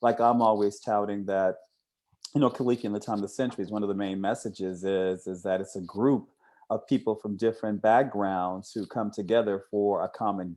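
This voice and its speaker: A male host with a soft-edged, mellow and fairly low voice. He interviews casually, in a conversational style that wanders into his own thoughts. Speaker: interviewer, comic creator.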